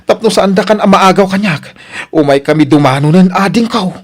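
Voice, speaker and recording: A man's voice: sits high, brisk. A man with an Ilocano Filipino accent playing an eager, lovestruck young suitor in a radio comedy drama. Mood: overjoyed. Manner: excitable, exclaiming, pleading.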